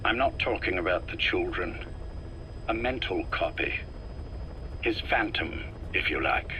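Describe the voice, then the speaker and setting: An actor playing an ailing, calculating older intelligence chief in a video game, speaking in a measured, deliberate and politely menacing way. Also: male voice, sits low, expressive, noisy background. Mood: quietly persuasive. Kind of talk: scripted game dialogue, dramatic acting.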